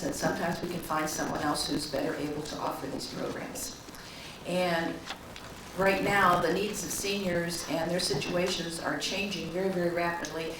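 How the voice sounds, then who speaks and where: Woman, hoarse from a cold, informative public talk, echoey distant recording, noisy. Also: fairly low pitch. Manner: informative, with frequent ums. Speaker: county office director. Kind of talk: public presentation at a meeting. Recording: echoey room, distant mic, background noise.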